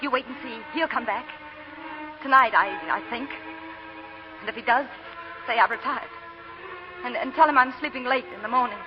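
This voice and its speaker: An actress playing a proud, headstrong young belle in a theatrical, impassioned 1940s radio drama style. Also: female voice, fairly high pitch, moderate pace, long pauses, lightly acted. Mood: wounded pride. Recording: muffled 1940 radio broadcast, music behind.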